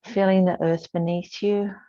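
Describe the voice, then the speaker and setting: Slow, even-toned woman guiding yoga. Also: mid-range voice, highs cut off. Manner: soothing, unhurried, with gentle pauses between short cues. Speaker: yoga instructor. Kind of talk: guided yoga and relaxation instruction.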